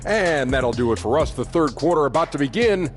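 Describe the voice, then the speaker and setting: A man's voice: on the high side, expressive, brisk, over loud music, natural voice. A man presenting as the host of a basketball halftime studio show. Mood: upbeat. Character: polished, lively male halftime show host.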